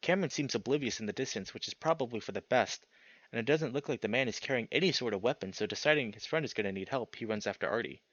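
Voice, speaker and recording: A man narrating a visual novel aloud as a let's player, dramatic and expressive, swearing freely in casual reactions. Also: medium pitch, fast talker, no room sound, highs cut off. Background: music.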